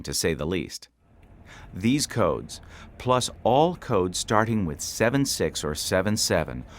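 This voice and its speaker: A man reading scripted instructional narration as a training video instructor, in a measured, explanatory way. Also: sits low.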